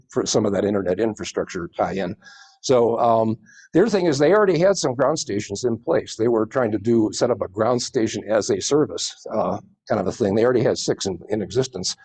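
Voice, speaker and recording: A man's voice: medium pitch, dark tone, husky. A man presenting informally to a group, explaining in a rambling way with many hedging asides. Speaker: presenter at a space society meeting.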